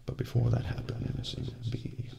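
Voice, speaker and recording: A man playing a cold, calculating assassin in an ASMR role play, speaking softly and deliberately with polite menace into a close microphone. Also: natural voice. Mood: quietly menacing. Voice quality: breathy, gravelly, near-whisper. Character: cold, calculating male assassin agent gloating over his victim. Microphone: close binaural microphone. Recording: close binaural mic, faint noise.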